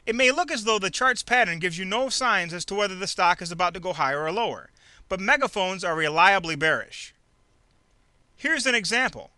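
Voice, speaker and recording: Bright, high-pitched man narrating a tutorial. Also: animated, fairly quick, dry recording. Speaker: narrator of an instructional trading video. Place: close dry voice-over recording.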